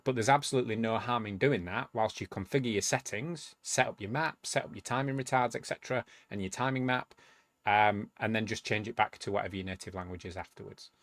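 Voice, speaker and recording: A man's voice: fairly low pitch, brisk, no room sound. A man casually walking viewers through a step-by-step tech tutorial, explaining as he goes. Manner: relaxed, explanatory, thinking aloud while demonstrating. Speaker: tutorial video host.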